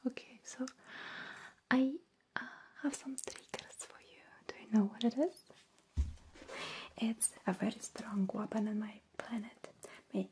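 A woman who is a non-native English speaker, playing a gentle, caring alien visitor in a breathy, soothing whispered ASMR roleplay. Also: on the high side, a little hiss or hum, close to an everyday voice. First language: not English. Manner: slow, soothing, reassuring, with soft giggles. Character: gentle, caring female alien visitor coaxing a human along. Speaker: ASMR creator.

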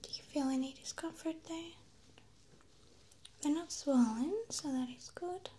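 A woman whispering gently and reassuringly, unhurried and breathy, as a medical examiner in an ASMR roleplay on a close binaural microphone. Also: high-pitched. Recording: faint background noise, close-miked whisper.

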